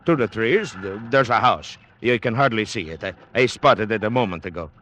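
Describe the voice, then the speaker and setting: An actor playing a loyal, practical crewman in an old radio drama, speaking plainly and haltingly with respectful deference. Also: fairly low pitch, expressive, a bit bright, slight background noise. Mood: curious, matter-of-fact. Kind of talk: 1940s-50s radio drama acting.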